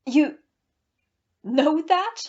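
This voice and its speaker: Woman acting high-voiced, nervous young lady. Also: lively intonation, very slow, a little mellow, dull audio, full character voice. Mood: distressed. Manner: hesitant, faltering under questioning. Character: nervous, defensive young lady hiding a secret. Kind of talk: audio play acting.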